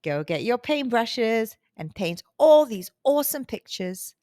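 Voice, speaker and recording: High-pitched, animated woman storyteller, slow pace. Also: no echo, clean studio sound. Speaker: children's storytime host and narrator. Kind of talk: expressive read-aloud storytelling for young children.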